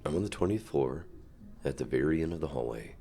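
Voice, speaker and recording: A man playing a suave, dominant company CEO in an ASMR roleplay, speaking to the listener with measured, polite, quietly controlling reassurance and deliberate pauses. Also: very deep voice, lively intonation, gravelly, heavily acted. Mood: courteous, inviting. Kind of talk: ASMR roleplay acting, addressing the listener directly.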